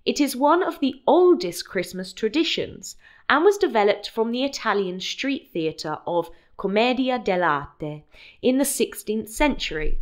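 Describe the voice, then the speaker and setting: A woman with a British accent and a medium-pitched voice hosts a podcast. She reads prepared material in a clear, explanatory manner, like a teacher guiding listeners through a lesson. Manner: clear, explanatory, spells out words. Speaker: podcast host. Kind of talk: scripted educational narration.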